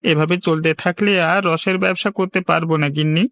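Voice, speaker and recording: A man's voice: on the high side, steady tone, brisk, fairly bright tone, dry recording, studio quality. A man playing an honest, downhearted village trader in a cartoon, speaking in a meek, plaintive way. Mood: dejected. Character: downhearted honest village juice seller, a husband. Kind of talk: cartoon voice acting.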